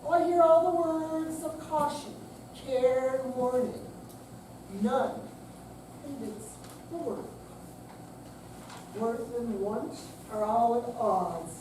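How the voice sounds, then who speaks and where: A woman playing a proud, wistful aging person in a deliberate, dramatic monologue reading. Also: high voice. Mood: wistful, reflective. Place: senior center room, live staged reading. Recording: very echoey hall, distant mic, noisy.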